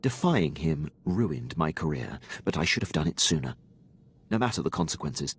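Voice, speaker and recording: An actor playing a dutiful, loyal military officer in a video game, speaking formally and with precise, clipped diction. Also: male voice, a bass voice, very animated, moderate pace, gravelly, husky, heavily acted. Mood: earnest, resolute. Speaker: starship captain serving a lord.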